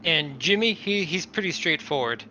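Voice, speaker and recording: A man's voice: on the high side, expressive, moderate pace, pushed and bright. A man playing a tabletop game, talking casually and reading out character notes. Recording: dull lo-fi mic, faint noise.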